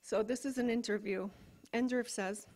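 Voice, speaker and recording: A soft-spoken woman stands at a stage microphone in a coffee house and reads a story aloud. She hesitates and fills pauses with ums. Her voice sits a little high. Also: fairly even intonation. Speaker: open mic performer.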